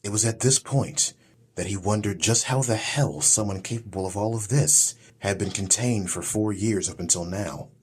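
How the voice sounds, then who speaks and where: A man's voice: lowish voice, normal speed, darkish tone, husky. A man reading a scripted, dramatic story as an audiobook narrator.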